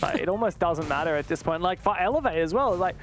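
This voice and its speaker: High-pitched male esports caster. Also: animated, quick pace, faint music behind. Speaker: esports commentator. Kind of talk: live match commentary and analysis.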